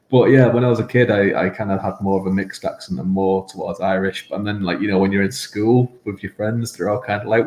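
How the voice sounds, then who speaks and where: Low-voiced Mancunian man in an echoey room. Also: a little mellow. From England, Manchester. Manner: rambling, self-deprecating, laughs often. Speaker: game show contestant, guest. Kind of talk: casual interview chat.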